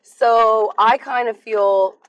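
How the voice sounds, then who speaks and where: A woman's voice: on the high side, steady tone, slow, no room sound, muffled recording. Bubbly American woman guest. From Florida, USA. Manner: chatty, many "like" fillers, laughs easily. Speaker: guest influencer and dancer. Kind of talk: casual interview chat.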